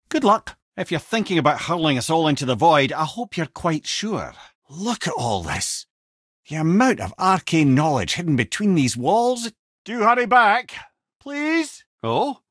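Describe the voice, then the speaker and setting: An actor playing a witty, learned old companion in a video game, with a Scottish accent, a husky voice and a wry, eloquent, theatrical way of talking. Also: male voice, a little high, big pitch swings, measured pace, a bit bright, dry recording, studio quality, full character voice. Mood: wry, animated. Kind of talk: video game character dialogue, lore storytelling.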